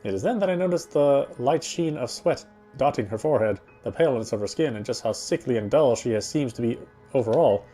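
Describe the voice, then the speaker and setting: A man reading visual novel narration aloud in a dramatic, reflective way with deliberate phrasing. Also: medium pitch, lively intonation, mellow, faint music behind. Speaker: game streamer reading aloud.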